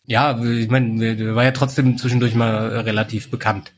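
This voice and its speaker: A man in his forties telling stories in a relaxed, rambling way, full of fillers, as a guest in a casual chat. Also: medium pitch, normal speed, a little hiss or hum. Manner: relaxed storyteller, many fillers like "halt" and "irgendwie". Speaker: podcast guest.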